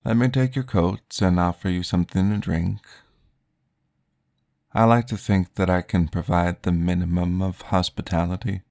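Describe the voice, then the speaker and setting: A man voicing a courteous male vampire guiding a therapy session in a formal, polite, soft-spoken ASMR roleplay, recorded close to the mic. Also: low voice, steady tone, normal speed, gravelly, no room sound. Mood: calm, courteous.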